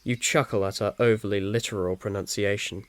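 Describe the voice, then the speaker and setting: A man's voice: on the low side, fairly even intonation, brisk, no room sound. A man reading scripted second-person story narration in an ASMR style. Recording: faint noise with birdsong behind.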